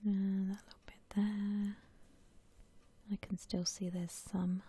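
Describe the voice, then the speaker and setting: A woman hosts an ASMR gaming session in a very breathy whisper. Her tone is soft and mellow, and she takes her time, gently thinking out loud as she plays. The delivery stays hushed and unhurried. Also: mid-range voice, flat delivery. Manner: gentle, unhurried, musing aloud. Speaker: host of a gaming ASMR channel. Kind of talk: whispered ASMR game commentary.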